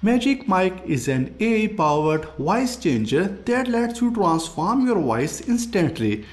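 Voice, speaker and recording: A man's voice: sits high, sing-song, measured pace. A man hosting a tech review, reading scripted tutorial narration.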